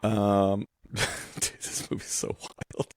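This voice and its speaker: A man's voice: on the low side, completely flat delivery, takes it slowly, creaky, smooth, dry recording, studio quality. A man in casual podcast chat, talking in a blunt, slangy, informal way. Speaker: podcast co-host, painter.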